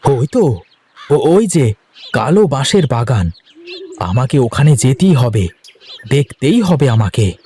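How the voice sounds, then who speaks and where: A man voicing an earnest, determined young village woodcutter in a cartoon, excitable and repeating his words. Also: mid-range voice, takes it slowly, long pauses, slight background noise. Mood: tense, determined. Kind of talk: cartoon voice acting.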